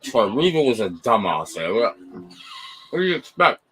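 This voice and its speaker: A man hosting a live watch party with a croaky morning voice, talking nervously, swearing and pleading. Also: mid-range voice, sing-song, normal speed, pushed and bright. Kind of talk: live reaction commentary.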